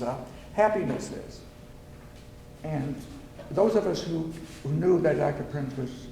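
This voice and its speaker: A man reminiscing and reciting verse at a camp reunion. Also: gravelly. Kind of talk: reminiscing and reciting song lyrics to a group. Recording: echoey room with background noise and rumble.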